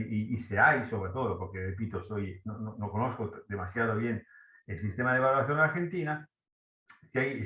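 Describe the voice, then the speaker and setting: Italian man, bright voice, roomy echo. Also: on the low side, dull audio. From Italy. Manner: searching for words, halting restarts. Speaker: audience member asking a question. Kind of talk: question in a webinar.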